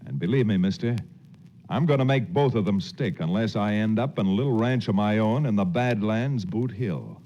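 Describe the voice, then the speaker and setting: An actor playing a resolute, confident western hero who also narrates, in a 1940s radio drama style. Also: male voice, fairly low pitch, normal speed, dark tone, close to an everyday voice. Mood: determined. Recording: muffled 1940s radio recording, crowd-like background.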